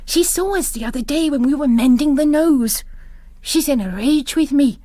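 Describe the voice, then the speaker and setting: Woman acting an impetuous young nun, high and animated. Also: moderate pace, no echo, clean studio sound. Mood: urgent, confiding. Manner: urgent, commanding, outspoken. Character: impetuous, earnest young Irish nun, brisk and bossy.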